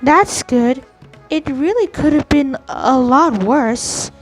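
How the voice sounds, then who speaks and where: A woman giving casual gameplay commentary in a halting way, sometimes repeating phrases. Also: sits high, lively intonation, faint music behind, rough recording. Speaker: gamer commenting on a playthrough.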